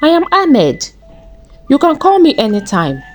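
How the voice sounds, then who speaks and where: Woman playing a smug, slow-talking gangster. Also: sits high, huge pitch swings, faint music behind, pitched above the performer's own voice, full character voice. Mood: breezy, confident. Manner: confident, coaxing. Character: smug wealthy male criminal, smooth recruiter.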